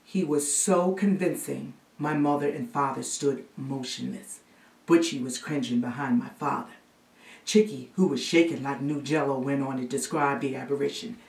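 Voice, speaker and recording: A woman playing a storyteller who recounts a spooky childhood family tale with hushed, dramatic suspense. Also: extremely low pitch, steady tone, moderate pace, gravelly. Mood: suspenseful. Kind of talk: theatrical storytelling monologue. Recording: roomy echo, distant microphone.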